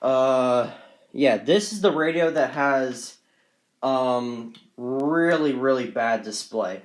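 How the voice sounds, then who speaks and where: A man with a medium-pitched voice gives an informal show-and-tell of his collection. He rambles and repeats himself as he goes, keen on the small details. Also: takes it slowly. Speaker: hobbyist collector presenting radios on video. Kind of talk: casual unscripted show-and-tell vlog.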